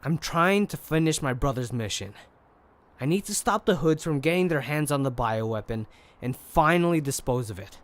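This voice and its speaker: A man's voice: on the high side, animated, moderate pace, lightly acted. A man playing a driven, guarded undercover agent in an audio crime drama. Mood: guarded, grave. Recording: faint music behind.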